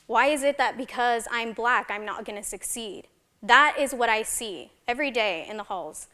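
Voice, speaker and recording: A young woman student from El Salvador speaks on a town hall panel in a fairly high voice. She is passionate and poses pointed rhetorical questions. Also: normal speed, pushed and bright. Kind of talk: impassioned panel remarks.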